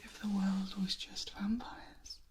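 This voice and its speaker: A woman voicing a possessive female vampire in an ASMR roleplay, speaking in a soft breathy whisper with a formal, gently scolding manner. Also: mid-range voice, little pitch movement, slow, natural voice. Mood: disdainful, soft. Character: possessive female vampire, scornful of humans, doting.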